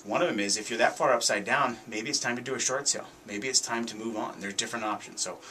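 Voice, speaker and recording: A man's voice: mid-range voice, fast talker, bright, forceful tone, a little hiss or hum. A man hosting a talk radio show, giving commentary in an emphatic, conversational way and often repeating his points. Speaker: radio show host, realtor.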